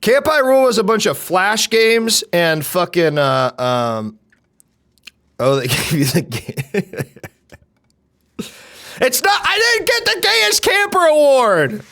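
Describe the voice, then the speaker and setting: A loud, brash young man with a deep voice, chatting on a live stream. His pitch leaps and drops all the time, from near-shouts down to low mutters. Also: sing-song, takes it slowly, pauses often. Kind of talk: casual live-stream chat.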